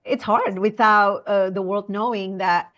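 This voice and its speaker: A woman from Indonesia, speaking earnestly as a podcast guest, often pausing and restarting her sentences. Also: mid-range voice, fairly even intonation, a bit bright, dull audio. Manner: earnest, halting, with frequent fillers and restarts. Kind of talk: conversational interview.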